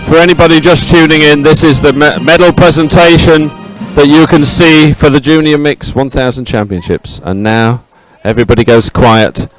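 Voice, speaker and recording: A man's voice: on the high side, steady tone, normal speed, faint music behind, low-quality recording. A man doing live, chatty sports commentary outdoors at a medal podium, rambling with many fillers.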